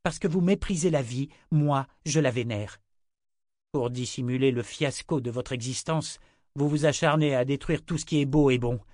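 A man's voice: medium pitch, normal speed, a little mellow, no room sound, clean studio sound, close to an everyday voice. A man reading an audiobook, voicing a proud, scornful young woman held prisoner, accusing her captor. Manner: cutting, accusing.

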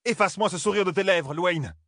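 A man voicing a fiery older woman warrior serving as a maid, shrill and commanding. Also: brisk, a bit bright, dry recording, clean studio sound. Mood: fierce.

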